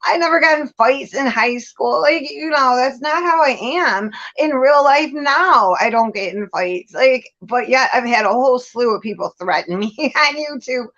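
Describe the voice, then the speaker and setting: A woman's voice: sits high, animated, moderate pace, few pauses, a bit bright, highs cut off. A woman chatting casually and candidly as a livestream guest, talking in an emphatic, blunt, informal way with some swearing.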